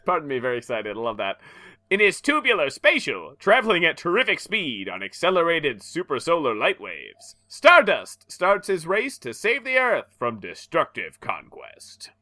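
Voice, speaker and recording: A man's voice: a little high, normal speed, no echo. A man co-hosting a comedy podcast, reading a comic aloud and laughing at it between lines. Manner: animated, often laughing at the text. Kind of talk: comedic dramatic reading with banter.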